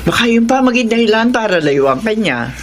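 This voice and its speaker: A woman's voice: fairly high pitch, very animated, a little fast. An actress playing an older mother who cautions and reassures her grown son in a Filipino radio drama. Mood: cautioning, reassuring. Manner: advising, reassuring.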